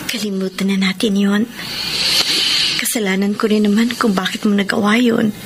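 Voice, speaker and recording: An actress playing a remorseful, tender mother in a Filipino radio drama, halting and hushed with emotion. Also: medium pitch, level tone, very noisy, natural voice. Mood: tender confession. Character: remorseful, tender mother seeking forgiveness from her family.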